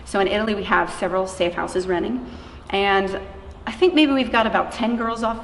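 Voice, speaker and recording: A woman's voice: medium pitch, fairly quick. A woman giving earnest, conversational answers as an interviewee about her work. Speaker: interviewee, missionary running an anti-trafficking organization. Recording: echoey reverberant room, faint noise.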